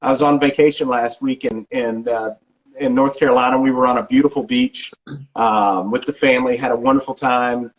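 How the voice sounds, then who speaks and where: A middle-aged man, a real estate agent, answering questions in an ordinary mid-range voice. He talks haltingly, often restarting a phrase and filling the gaps with ums. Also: medium pitch, expressive, low-quality recording. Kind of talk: casual interview answers.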